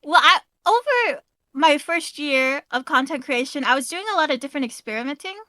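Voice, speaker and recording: A young woman with a high voice, a streaming guest chatting casually and hedging with "like" and "I think.". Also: lively intonation, a little fast, bright, forceful tone, no echo. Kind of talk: casual interview chat.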